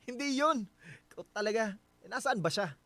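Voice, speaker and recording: A man with a Filipino accent playing a gentle, considerate husband in a radio drama, talking in a reassuring, conversational way. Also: high voice, expressive, measured pace, a little hiss or hum, exaggerated voice. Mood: light, upbeat.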